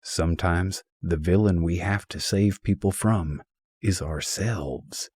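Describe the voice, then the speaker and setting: A man narrating a scripted, humorous essay as an audiobook, reading deliberately and expressively with a wry, mock-scholarly turn. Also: deep voice, darkish tone, husky, no echo.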